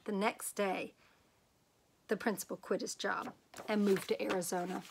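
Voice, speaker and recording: A woman reading a children's picture book aloud as a storyteller, with expressive, dramatic emphasis and drawn-out words. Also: medium pitch, gravelly, noisy background.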